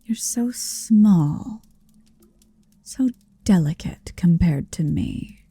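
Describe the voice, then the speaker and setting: A woman's voice: fairly low pitch, expressive, drawn-out words, dark tone, slightly rough, no room sound, heavily acted. A woman playing a huge, possessive, motherly female dragon in an ASMR roleplay, tenderly domineering and teasing, often whispering close to the mic. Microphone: close-up microphone, dry.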